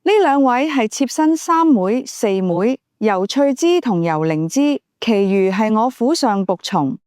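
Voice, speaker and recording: Woman acting composed, high-voiced noble lady. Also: measured pace, no echo, studio quality. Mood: polite, composed. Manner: steady, formal, courteous. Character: composed noble lady, around thirty, guarded and polite.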